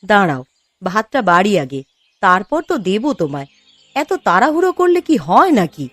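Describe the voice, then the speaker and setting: A woman voicing a caring, gently chiding farmer's wife in a cartoon story. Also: medium pitch, wildly swooping pitch, moderate pace, no room sound, soft background music. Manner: fussing, coaxing. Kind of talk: Bengali cartoon moral story acting.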